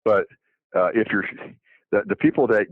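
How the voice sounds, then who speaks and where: A man's voice: lowish voice, normal speed, no echo. A man in his seventies, a doctor, talking casually on the radio with many restarts and fillers. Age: 70s. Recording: phone-like dry narrow line.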